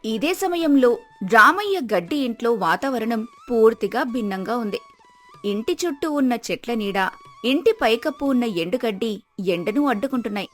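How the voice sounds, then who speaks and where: A woman's voice: on the high side, big pitch swings, fairly quick. A woman narrator reading a scripted moral story. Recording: music behind the voice. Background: music.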